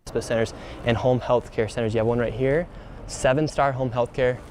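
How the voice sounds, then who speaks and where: A young man walking city streets on camera, reading out names and figures in a deadpan way. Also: medium pitch, fairly quick, slight background noise. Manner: deadpan, reads figures aloud. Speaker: on-camera investigator. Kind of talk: walking documentary narration.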